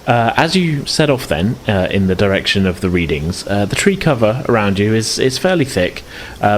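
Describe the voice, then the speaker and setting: A man's voice: lowish voice, a little hiss or hum. A man working as game master, improvising narration for a tabletop game in a hesitant, hedging way. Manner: hesitant, hedging descriptions with "sort of".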